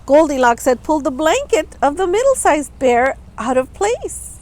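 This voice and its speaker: A woman's voice: high voice, sing-song, moderate pace, a little mellow. A woman reading a children's picture book aloud expressively, adding simple asides for young listeners. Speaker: storytime reader.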